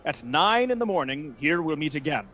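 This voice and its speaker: A man playing a brisk, authoritative duke in a Shakespearean radio play, formal and commanding. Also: on the high side, big pitch swings, fairly bright tone. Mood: decisive.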